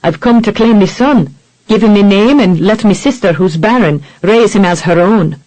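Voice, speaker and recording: A blunt, earnest Irish sea captain speaks in a deep, thick brogue, as a woman narrator voices him. He sounds plain-spoken and steady in this audiobook. The recording is dry and close. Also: moderate pace, dry recording, close to an everyday voice, low-quality recording. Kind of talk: audiobook character voice.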